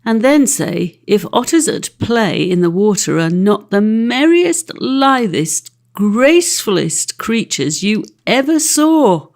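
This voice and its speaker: A woman's voice: mid-range voice, big pitch swings, takes it slowly, few pauses, darkish tone. A woman reading a classic children's story as an audiobook narrator.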